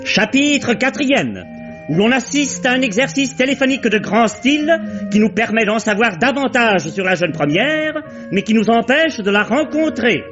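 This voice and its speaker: An actor playing a theatrical, playful announcer who reads out chapter titles in an old French radio serial. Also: male voice, very high-pitched, expressive, normal speed. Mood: playful, grandiose. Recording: dull vintage radio recording, loud music. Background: loud music.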